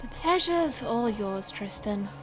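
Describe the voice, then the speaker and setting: A woman's voice: fairly high pitch, expressive, takes it slowly, fairly bright tone, faint music behind, low-quality recording. A woman playing a defiant, sardonic young stage magician, telling her story in a dry, controlled way in an audio drama. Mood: defiant, scornful.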